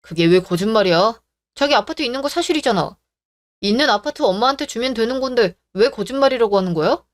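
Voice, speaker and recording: A woman's voice: fairly high pitch, lively intonation, quick pace, natural voice. A woman voicing a young husband who pleads with his mother and pushes his wife, talking in a defensive way. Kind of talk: radio drama acting.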